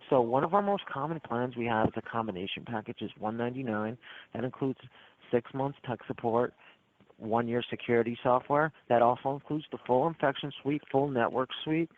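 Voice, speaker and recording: A man's mid-pitched voice comes through a thin, tinny phone line. He runs a smooth, persistent sales script and keeps circling back to the same selling points. The talk is steady and practiced. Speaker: phone tech support salesman.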